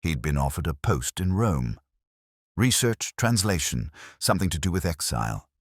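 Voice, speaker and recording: A man narrating a scripted first-person detective story in a measured, literary, reflective manner. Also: deep voice, very animated, no room sound, clean studio sound.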